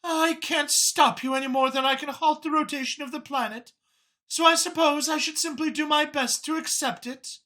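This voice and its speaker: A man's voice: very high voice, steady tone, moderate pace, pushed and bright, voice pushed up. A man voicing a haughty, exasperated female dragon in an audiobook story, imperious and indignant. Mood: resigned.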